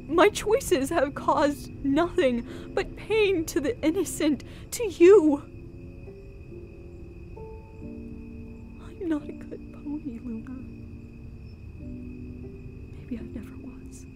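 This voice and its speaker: A woman playing a guilt-ridden pony queen in a radio drama, speaking in a halting, emotional way. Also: high voice, expressive, soft background music. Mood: anguished, tearful. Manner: halting, emotional, anguished delivery. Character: grieving, guilt-ridden pony queen, gentle elder royal sister. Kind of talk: dramatic radio play acting.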